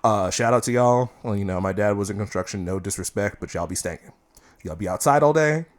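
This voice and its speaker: A man's voice: fairly low pitch, fairly quick. A man co-hosting a podcast, telling stories in a casual, playful and profane way. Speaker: podcast co-host. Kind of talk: casual chat.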